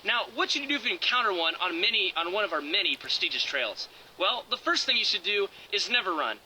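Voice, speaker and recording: Bright, high-pitched park announcer played by a man. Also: big pitch swings, fast talker, slight background noise, heavily acted, low-quality recording. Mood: upbeat. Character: cheery park visitor center safety announcer, upbeat. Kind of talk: scripted public safety announcement.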